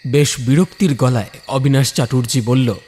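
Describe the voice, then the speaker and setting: A man's voice: mid-range voice, steady tone, normal speed, darkish tone, a little hiss or hum. A man narrating a scripted ghost story dramatically, building suspense phrase by phrase.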